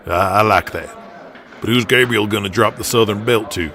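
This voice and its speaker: A man voicing a stubborn, gruff wrestling promoter in an audio drama, terse, folksy and blunt. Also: fairly low pitch, normal speed, pushed and bright, voice pushed down. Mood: calculating.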